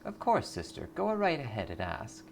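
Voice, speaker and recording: A man puts on a blissful game-character cult greeter, eager to recruit. The delivery is sweetly pious and salesy, swinging high in lilting arcs, with faint noise behind it. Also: wildly swooping pitch, moderate pace, creaky. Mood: welcoming, zealous.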